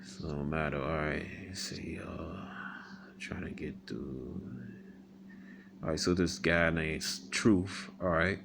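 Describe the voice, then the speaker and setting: A man giving casual commentary on a video. He reads viewer comments aloud, rebuts them, pauses often with "um," and keeps asking "all right?". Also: rumbling low, steady tone, vocal fry, background noise. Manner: halting, many ums and repeated "all right?" tags.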